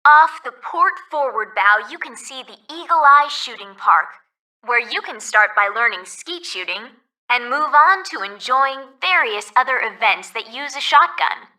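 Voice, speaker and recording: A woman's voice: sits high, animated, unhurried, sharp tone, exaggerated voice. A woman playing a polite, cheerful resort ferry guide in a video game, reading scripted tour announcements, recorded slightly distant. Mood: cheerful, informative.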